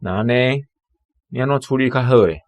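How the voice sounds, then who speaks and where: A man's voice: medium pitch, fairly quick, a little mellow, no echo, close to an everyday voice. An actor with a Taiwanese accent playing a concerned man who talks about an ailing elder in a radio drama. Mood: questioning.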